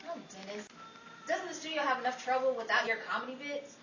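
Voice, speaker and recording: Woman playing nagging, sarcastic wife for retro radio comedy; highish voice, noisy recording. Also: fast talker, recorded from a distance, lightly acted. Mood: dry, needling. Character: weary, sharp-tongued working wife of a hapless actor. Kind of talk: old-time radio comedy acting. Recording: echoey, noisy room, off-mic.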